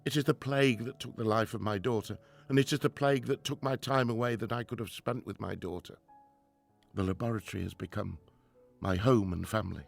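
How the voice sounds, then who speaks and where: Deep, creaky-voiced grieving professor played by a man. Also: steady tone, faint music behind. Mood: sorrowful, solemn. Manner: formal, earnest, halting when troubled. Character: grieving, driven middle-aged professor and father, 1920s. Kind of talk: radio drama acting with diary narration.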